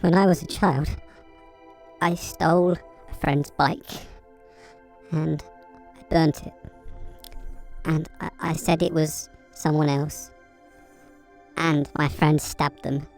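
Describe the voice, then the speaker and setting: A woman acting an uneasy person confessing dark secrets in an audio drama, halting and hesitant. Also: low-pitched, fairly even intonation, faint music behind. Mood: guilty, hesitant.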